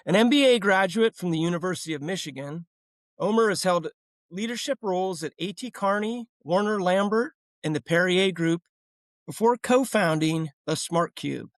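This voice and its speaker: A man's voice: high-pitched, animated, normal speed, no echo. A man hosting a business podcast, introducing his guest and asking questions in a friendly, loosely rambling way. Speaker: podcast host. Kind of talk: interview hosting.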